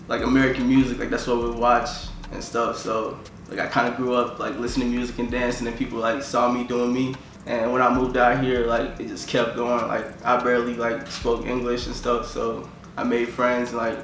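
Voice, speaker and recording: A young man from Tanzania talks loosely about himself, stringing phrases together with "like" over and over. His delivery stays level, with little rise or fall in pitch. The voice rings a little in an echoey room, with faint background hum. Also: a bit bright. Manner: rambling, fills nearly every phrase with "like". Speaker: musician being interviewed. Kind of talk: casual interview talk.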